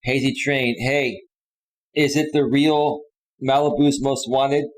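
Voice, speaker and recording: A man's voice: medium pitch, fairly even intonation, slow pace, rough recording. Insistent man hosting a casual livestream. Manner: repetitive, insistent direct address with slangy "bro" and "dude". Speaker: host of a live stream. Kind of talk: casual off-the-cuff livestream monologue.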